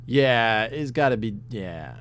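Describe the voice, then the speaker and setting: A man co-hosting a casual podcast chat, talking in an excitable, rambling way with lots of fillers and swearing, his voice getting worn. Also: mid-range voice, slow, slight background noise. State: losing his voice. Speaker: podcast host.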